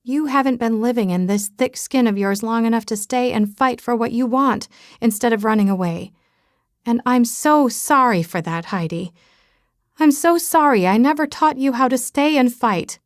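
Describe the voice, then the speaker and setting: A woman's voice: on the high side, moderate pace, no room sound, studio quality, pitched above the performer's own voice, close to an everyday voice. A woman voicing a middle-aged mother who speaks earnestly and emotionally. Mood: tender.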